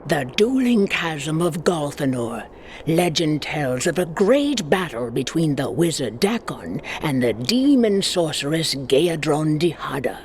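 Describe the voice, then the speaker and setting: A narrator reading a scripted fantasy game story in a dramatic, deliberate storytelling manner. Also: mid-range voice, a little hiss or hum.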